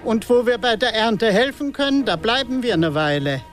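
An actress in a radio drama playing a friendly, slightly patronising interplanetary travelling harvest helper. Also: female voice, a little high, expressive, moderate pace, faint music behind, close to an everyday voice. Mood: cheerful, impressed. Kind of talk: German radio drama acting.

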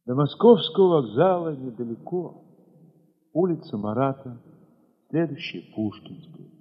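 Slow, husky wartime storyteller. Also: male voice, mid-range voice, expressive, in a large hall, dull audio. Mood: somber, tender. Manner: measured, emotive, with dramatic swells and hushed drops. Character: war-weary male dance ensemble leader recalling besieged children, tender. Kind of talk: dramatic literary monologue in an audio play.

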